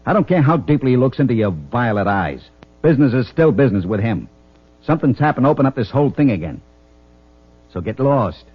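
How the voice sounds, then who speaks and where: An actor playing a pushy, cynical American hoodlum in an old radio crime drama, curt, slangy and bossy. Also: male voice, mid-range voice, very animated, a little fast, husky. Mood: hard, urgent. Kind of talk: mid-century radio crime drama acting. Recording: muffled, dull old radio recording.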